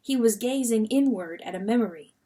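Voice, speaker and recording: A woman reading a novel aloud as dramatic narration, expressive and full of suspense. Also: a little high, brisk. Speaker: audiobook reader.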